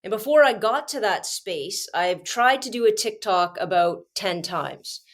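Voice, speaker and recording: A woman's voice: mid-range voice, expressive, a little slow. A Canadian woman, a teacher, hosting her own show, sounding congested with a cold and talking with passionate emphasis and frequent restarts. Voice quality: screechy when agitated. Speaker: podcast host and teacher. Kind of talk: casual solo commentary.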